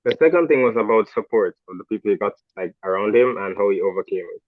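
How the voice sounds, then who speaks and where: A man's voice: lowish voice, quick pace, dry recording. A man from Jamaica with a Jamaican accent, a student explaining his speech to his instructor on a video call. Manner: brief, agreeable replies. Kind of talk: answering feedback on a video call. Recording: dry, tinny phone-like call audio.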